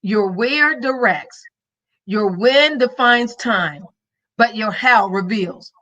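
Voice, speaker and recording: A woman's voice: on the high side, slow pace, bright, forceful tone, lo-fi sound. A woman podcast host and minister giving a live-streamed motivational talk, emphatic and preachy, with a faith-filled rhythm.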